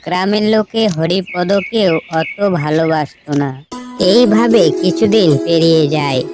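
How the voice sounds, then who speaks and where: A woman's voice: lowish voice, measured pace, music in the background. A woman narrator reading a scripted story for a cartoon.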